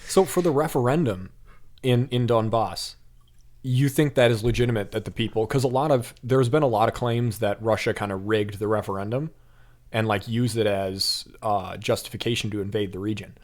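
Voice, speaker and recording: A man's voice: lowish voice, normal speed, darkish tone. A man hosting a podcast, asking questions in a casual, chatty way full of fillers. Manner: casual, chatty, frequent likes. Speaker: podcast host.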